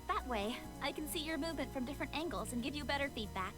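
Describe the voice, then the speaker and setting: A woman's voice: sits high, lively intonation, fast. A woman playing an earnest, honorable knight in a fantasy visual novel, easily frustrated and given to instructing. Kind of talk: visual novel character acting. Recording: game music behind the voice.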